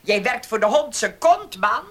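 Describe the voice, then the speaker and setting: An actress playing a domineering, scheming farm mistress in a Dutch radio play, commanding and condescending. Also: female voice, fairly high pitch, big pitch swings. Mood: scornful, scheming. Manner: commanding, condescending, deliberate threats.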